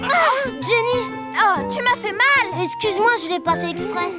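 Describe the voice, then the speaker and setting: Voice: extremely high pitch, animated, normal speed, loud music behind the voice. A performer in a dubbed children's cartoon voicing a plaintive, good-natured little schoolboy. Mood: protesting.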